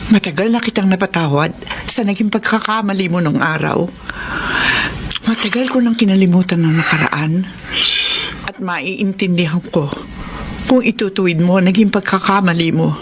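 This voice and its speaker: A woman's voice: fairly low pitch, moderate pace, pauses often, a little hiss or hum, lightly acted. A woman playing a middle-aged mother and wife, firm and forgiving, in a Filipino radio drama. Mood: earnest, forgiving.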